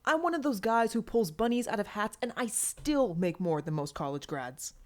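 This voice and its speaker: A woman's voice: mid-range voice, animated, normal speed, pitched above the performer's own voice, lightly acted. A woman narrator plays a cocky young man, a superhero magician in an audiobook. He is flippant and teasing, always needling his teammates.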